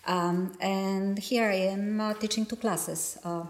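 A woman's voice: mid-range voice, steady tone, slow pace. A woman with a Polish accent, a knitting designer and teacher, speaking hesitantly with many fillers in an interview. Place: yarn festival venue. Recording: echoey room.

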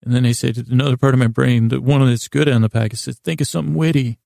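A man hosting a sleep podcast in a creaky voice, meandering haltingly in lulling, soothing tones, repeating himself and trailing off. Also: mid-range voice, steady tone, dark tone, dry recording, studio quality. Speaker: podcast host. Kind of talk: rambling sleep-podcast monologue.

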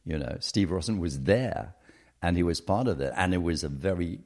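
Deep-voiced man, slow and reflective. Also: expressive, darkish tone. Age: middle-aged. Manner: thoughtful, hesitant with frequent ums. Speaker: podcast guest. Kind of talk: reflective interview conversation.

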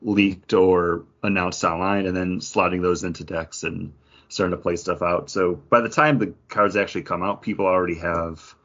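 A man with a husky voice explaining things informally as a podcast co-host in casual chat. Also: sits low, steady tone, normal speed, a bit bright, lo-fi sound. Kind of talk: casual chat about games and comics.

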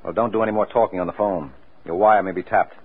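A man's voice: sits low, steady tone, fast talker, very noisy, close to an everyday voice. An American man acting a curt, wisecracking private detective in a 1940s radio drama, dry and no-nonsense. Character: brisk, wisecracking, hard-boiled male private detective. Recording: tinny, narrow-band old radio recording.

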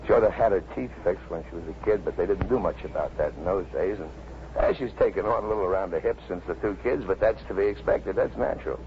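Gravelly, kindly working-class cop, old radio. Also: male voice, deep voice. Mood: fond, reflective. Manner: folksy, halting, colloquial. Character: kindly, plainspoken working-class New York police officer and family man. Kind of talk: 1950s radio drama acting. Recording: muffled, noisy 1950s radio recording.

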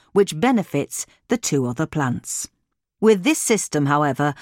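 Woman narrating, lively intonation, dry sound. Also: fairly low pitch, no echo. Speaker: reader of a gardening magazine article. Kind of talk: scripted narration, instructional.

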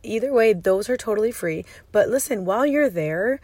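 A woman in her thirties with a hoarse voice, hosting a solo money-coaching podcast from her parked minivan in an upbeat, chatty way. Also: mid-range voice, lively intonation, moderate pace, a little mellow, no echo. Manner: upbeat and chatty, with fillers and restarts.

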